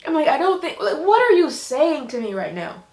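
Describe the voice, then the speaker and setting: A woman vlogger speaking candidly and informally in a halting way, as a writer talking about her own creative work. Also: high voice, very animated, darkish tone. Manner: halting, informal, acts out quoted remarks. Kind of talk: candid personal vlog. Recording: echoey room sound.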